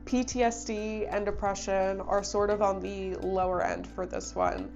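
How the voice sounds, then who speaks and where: A woman's voice: medium pitch, little pitch movement, a little slow, vocal fry, a little mellow, muffled recording, faint music behind. A young woman with a stuffy voice from a cold, chatting enthusiastically as host of a book review channel. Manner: chatty, enthusiastic, thinks aloud. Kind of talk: casual book review talk.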